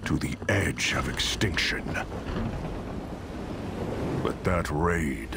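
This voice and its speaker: Very deep, grave Viking warrior. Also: male voice, expressive, extremely slow, gravelly, heavy background noise, heavily acted. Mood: grave, resolute. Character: grave battle-hardened male Viking warrior recounting a war. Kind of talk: solemn cinematic game narration.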